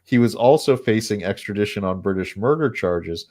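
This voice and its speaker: Low-voiced man retelling history casually. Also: moderate pace, a crowd in the background. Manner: relaxed, laughs often while retelling. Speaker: podcast host telling the story. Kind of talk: casual storytelling with banter.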